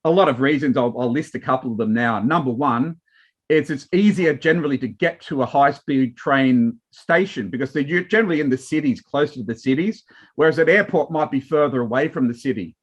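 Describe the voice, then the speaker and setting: A man's voice: medium pitch, fairly quick, husky. An Australian man, a wine and marketing guest, chatting casually and rambling with many restarts and fillers. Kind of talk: casual panel chat.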